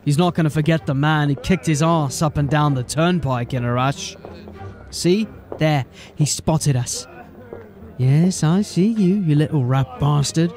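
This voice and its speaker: A man's voice: on the high side, unhurried, a little mellow, soft background music. A man playing a blunt, wry city watch officer in a fantasy audio drama. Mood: scornful.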